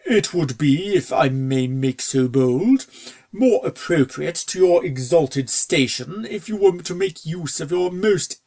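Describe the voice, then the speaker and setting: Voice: medium pitch, darkish tone. A reader voicing a fussy, servile male pony butler in a storybook, formal, hesitant and deferential. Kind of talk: storybook character dialogue.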